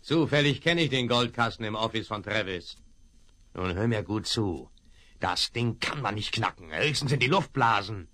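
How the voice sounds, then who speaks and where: Casting: a cocky, jeering outlaw sidekick, sharp bright tone, animated pitch, radio drama. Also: male voice, moderate pace. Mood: scheming. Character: sly male ex-cowboy accomplice, cocky and amused. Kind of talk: 1970s radio play acting.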